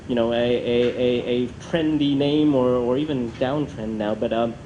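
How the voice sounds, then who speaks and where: A man's voice: mid-range voice, steady tone, normal speed, mellow, lo-fi sound. Hesitant male moderator in echoey room. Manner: hesitant, rambling, repeats words. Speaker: panel moderator. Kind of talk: moderating a conference discussion. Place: multi-purpose function room at a conference. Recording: echoey function room, faint background noise.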